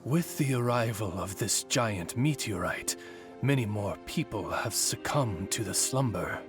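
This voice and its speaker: A man playing a courtly, loyal familiar to a young lady in a video game, speaking in formal, eloquent, butler-like phrases. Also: on the low side. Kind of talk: video game cutscene voice acting.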